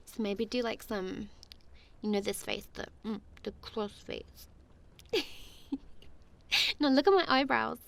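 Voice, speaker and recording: Creaky-voiced, playful woman acting as a goofy friend. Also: fairly high pitch, slow, long pauses. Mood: giggly, playful. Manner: playful, giggly, rambling. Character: goofy, affectionate young woman friend carving pumpkins. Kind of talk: ASMR roleplay, casual one-sided chat to a friend.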